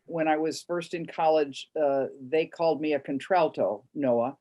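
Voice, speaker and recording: A woman opera singer on a panel, with a low speaking voice, telling her story in a relaxed, reflective way. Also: a little slow. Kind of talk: panel discussion.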